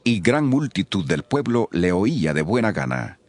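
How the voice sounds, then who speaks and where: A man's voice: lowish voice, big pitch swings, moderate pace, no echo. A man narrating scripture from a script as the storyteller of an audio production.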